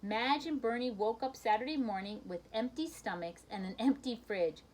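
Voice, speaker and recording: A woman reading a children's picture book aloud in an expressive storytelling manner, with brief asides to her listeners. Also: fairly high pitch, moderate pace, rough recording.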